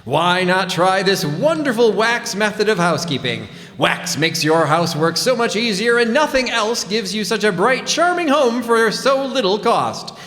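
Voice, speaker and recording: Man playing a high-pitched, lively old-time radio announcer. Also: moderate pace, few pauses. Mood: upbeat selling. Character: chipper, polished 1940s radio announcer and wax pitchman. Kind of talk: 1940s radio advertising and narration. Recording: echoey hall, live stage performance.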